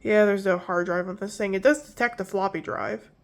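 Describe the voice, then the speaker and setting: A young woman hosts a relaxed tech video, her voice nasal from pollen allergies. She talks in a loose, rambling way, doubling back to correct herself and apologize as she goes. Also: medium pitch, a little fast. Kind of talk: casual hardware walkthrough.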